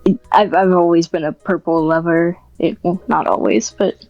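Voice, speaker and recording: A woman's voice: on the low side, fairly even intonation, moderate pace, gravelly, no echo, lo-fi sound, faint music behind. A woman podcast guest chatting casually in a modest, informal and hesitant way. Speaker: podcast guest, script writer. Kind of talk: casual interview chat.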